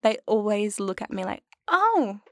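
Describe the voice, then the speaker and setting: A woman actress chatting as a podcast guest, telling animated stories, laughing often and acting out the voices she quotes. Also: mid-range voice, measured pace, no room sound, studio quality. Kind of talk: casual podcast chat.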